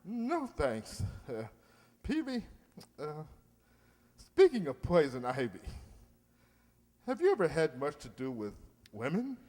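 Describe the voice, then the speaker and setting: A pompous small-town bachelor in an old radio comedy. His high voice swoops wildly as he blusters and hems, echoing in a hall. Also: takes it slowly, long pauses, exaggerated voice. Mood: flustered. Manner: blustering, hemming, excitable. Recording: reverberant hall, stage re-enactment.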